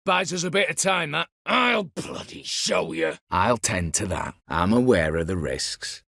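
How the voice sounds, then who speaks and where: A man's voice: lowish voice, big pitch swings, a little slow, gravelly, pushed and bright, dry recording, clean studio sound, full character voice. An actor voicing a scheming, vengeful disinherited nobleman turned heist leader in a video game, with a colloquial, wry, conspiratorial way of talking. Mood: calculating. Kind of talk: video game character dialogue.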